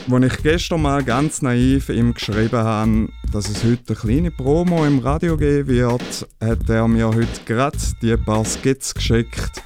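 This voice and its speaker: A man's voice: medium pitch, a little mellow. A man with a Swiss German accent, casually hosting a music show on the radio.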